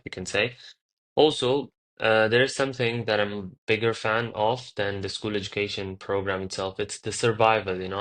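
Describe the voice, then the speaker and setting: A man from Qatar, a tour company founder, answering interview questions casually and pausing often to search for words. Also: lowish voice, fairly bright tone. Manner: hesitant, searching for words with frequent pauses.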